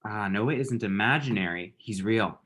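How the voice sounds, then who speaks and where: A man's voice: lowish voice, normal speed, background noise, close to an everyday voice. A young man, played by an actor at a table read, who is lovesick and proudly messy about it. He banters in a campy, self-mocking way, in a conversational comedy style. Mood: breezy banter. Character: Eli, lovelorn self-mocking young man, dramatic best friend. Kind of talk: conversational comedy screenplay reading.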